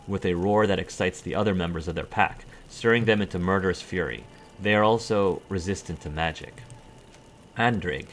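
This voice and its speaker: Low-voiced man reading game lore. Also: fairly bright tone, a little hiss or hum. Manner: reads aloud with stumbles and self-corrections, adds brief dry asides. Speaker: let's play host. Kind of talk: reading in-game codex entries aloud.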